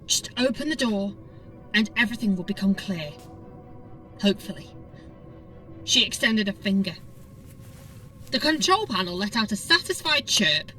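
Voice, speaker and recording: A woman's voice: mid-range voice, sharp tone, distant mic, rough recording. A woman narrating a scripted audiobook story in a dramatic storytelling style.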